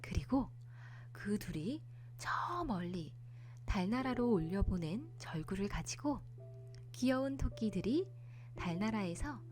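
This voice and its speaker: A woman narrating a children's bedtime folktale as a storyteller, in a polite, expressive manner. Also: mid-range voice, takes it slowly, soft background music.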